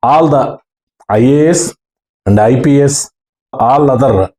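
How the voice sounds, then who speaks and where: A man with an Indian accent gives an explanatory lecture in a deliberate, halting way, pausing mid-phrase as an instructor. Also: mid-range voice, dark tone. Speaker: ham radio instructor. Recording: echoey room.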